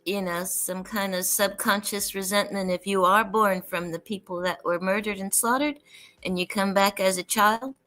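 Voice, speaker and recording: A woman in casual chat, musing out loud about an idea. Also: medium pitch, steady tone, moderate pace.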